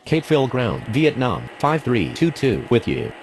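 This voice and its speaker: A man's voice: medium pitch, big pitch swings, a little slow. A man voicing a businesslike air traffic radio operator in scripted aviation phraseology.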